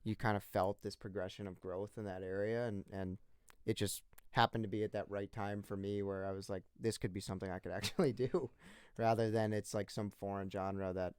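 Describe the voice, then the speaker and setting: A man's voice: on the low side, steady tone, a little fast, darkish tone, smooth. A man in his twenties, a singer-songwriter guest from the northeastern US, telling his story in a rambling, casual way with many restarts. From northeastern United States. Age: 20s. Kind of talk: casual interview chat.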